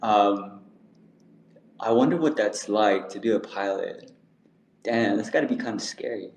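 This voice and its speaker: Man, interview host, laid-back, slangy, low voice, roomy echo. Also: a little slow, creaky, darkish tone, slight background noise. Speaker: podcast host. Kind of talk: casual interview chat.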